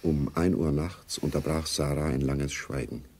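A man narrating a scripted radio spy drama in a composed, gravelly voice. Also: very deep voice, steady tone, normal speed, darkish tone, full character voice. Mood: measured. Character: composed male narrator of a Cold War spy story.

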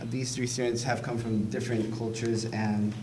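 A man's voice: mid-range voice, little pitch movement, normal speed. A young man giving a prepared introduction as a student speaker at an auditorium podium. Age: young adult. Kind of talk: prepared speech. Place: indoor auditorium stage. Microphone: podium microphone. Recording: echoey hall, distant mic, background noise.

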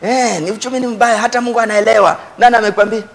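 A middle-aged Kenyan man preaches from a church stage in a high-pitched voice. His delivery is emphatic, repeating key phrases to drive them home. He speaks with a Kenyan accent into a handheld microphone. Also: fairly even intonation, rapid-fire, gravelly. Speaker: pastor. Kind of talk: animated church sermon with Swahili asides. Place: church stage before a congregation.

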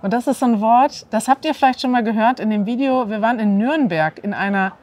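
A woman's voice: on the high side, fairly quick. Woman from northern Germany hosting a lesson. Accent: standard German. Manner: clear, animated, prompting and repeating words. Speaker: host. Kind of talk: language lesson interview. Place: outdoors in a courtyard by an ivy-covered wall.

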